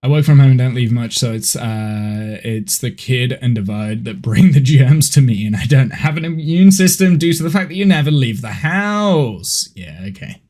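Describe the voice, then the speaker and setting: A man's voice: medium pitch, expressive, normal speed, few pauses, dark tone. A man in his thirties with a head cold, chatting casually and rambling as he streams a horror game from home. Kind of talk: casual gameplay chat on a live stream.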